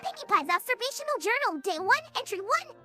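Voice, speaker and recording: A synthetic woman's voice playing an excitable, nosy young pony in a cartoon audio drama. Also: extremely high pitch, fairly quick, soft background music, heavily acted. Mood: secretive, excited. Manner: childlike, animated.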